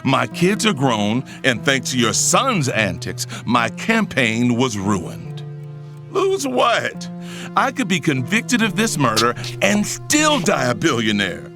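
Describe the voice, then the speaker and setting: An actor playing a scheming, arrogant middle-aged billionaire in an audio drama, both speaking in scenes and narrating, with a smug, commanding manner. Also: male voice, mid-range voice, huge pitch swings, slow pace, full character voice. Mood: taunting, smug. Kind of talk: audio drama acting with first-person narration. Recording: music under the voice. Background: music.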